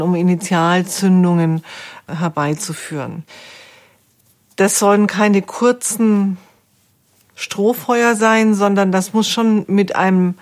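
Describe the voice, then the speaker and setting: A woman speaking as a foundation executive in a considered interview, earnest, measured and articulate. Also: mid-range voice.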